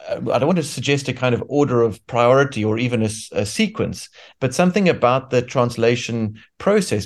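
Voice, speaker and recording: A man interviewing, asking long academic questions full of qualifications and hesitations. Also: medium pitch.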